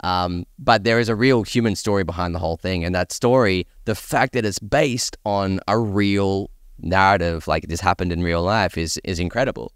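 Deep, clear-voiced man chatting enthusiastically. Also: normal speed, few pauses, no echo, studio quality. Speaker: podcast panelist discussing films and games. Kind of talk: casual panel chat.

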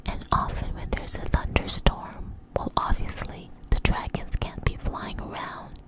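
A woman telling a story in a soft, breathy ASMR whisper, close to the mic, in a conversational, hesitant way. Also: a little slow.

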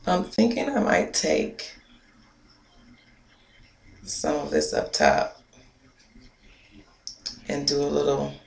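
A woman's voice: sits low, huge pitch swings, slow pace, gravelly, pauses often, a little mellow. A young woman chatting casually to a webcam about her hair, playful and amused, sometimes dropping to a whisper. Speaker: hair vlogger. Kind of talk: casual vlog chat. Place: small indoor room. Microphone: webcam at close range. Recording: echoey room with faint noise.

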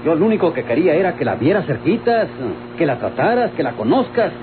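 A man's voice: on the high side, very animated, a little fast, phone-quality sound. An actor playing a hearty, hot-tempered country man in an old radio drama, with a Mexican accent and emphatic, colloquial speech. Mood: indignant. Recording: noisy, band-limited old radio recording.

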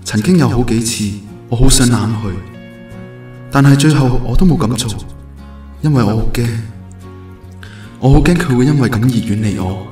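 A man's voice: medium pitch, normal speed, pauses often, a little mellow, natural voice. A man acting a devoted, earnest and unsure young man in love in a radio drama, with a Hong Kong Cantonese accent. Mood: wistful. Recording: roomy echo, music bed.

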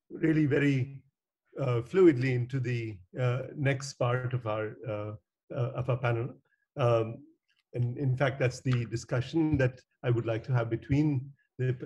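A man moderating a panel over a video call, speaking in a halting, hesitant, courteous way. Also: mid-range voice, a little mellow, dull audio.

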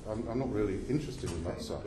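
A man's voice: on the low side, expressive, fast. A man questioning a witness at a parliamentary committee hearing, pressing and insistent, stumbling and restarting his sentences. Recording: roomy hall sound with faint noise.

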